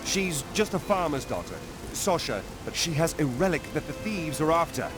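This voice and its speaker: Desperate captive rogue, high swooping pleading voice, game acting. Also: male voice, sing-song, moderate pace, over music. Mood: urgent explaining. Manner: urgent, pleading.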